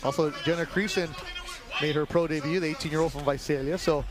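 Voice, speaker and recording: A man calling a boxing match play-by-play in a brisk, promotional broadcast manner. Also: fairly high pitch, fairly even intonation. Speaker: play-by-play commentator.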